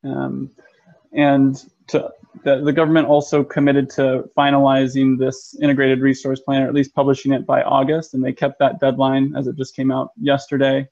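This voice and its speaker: Flat, gravelly American man on a web call. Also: mid-range voice. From United States. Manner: measured, frequent ums and restarts. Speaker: energy consultant presenter. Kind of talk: webinar presentation. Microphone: video call. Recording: muffled lo-fi web call audio.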